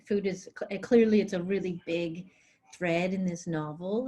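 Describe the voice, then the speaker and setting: A woman raised partly in Greece, with Greek as her first language, speaking in an interview over a video call, often pausing with fillers and restarting her sentences. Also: fairly low pitch, dark tone. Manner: hesitant, many fillers and restarts. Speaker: author being interviewed. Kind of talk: conversational interview.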